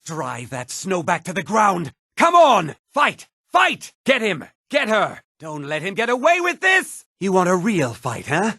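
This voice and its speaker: An actor playing a rowdy brawler in a fantasy game, goading fighters with raised, shouted calls. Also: male voice, high-pitched, huge pitch swings, measured pace, hardly any pauses, slightly rough, dry recording, studio quality, exaggerated voice.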